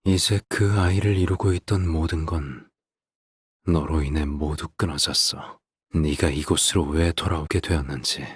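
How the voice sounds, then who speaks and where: An actor playing a calm, sinister middle-aged father in a game, speaking in a measured, soft, condescending way with a husky, breathy voice. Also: very deep voice, dry recording, clean studio sound, heavily acted. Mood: cruelly gentle. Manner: measured, soft-spoken, condescending, trailing into breathy near-whispers. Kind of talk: theatrical scripted game voice acting.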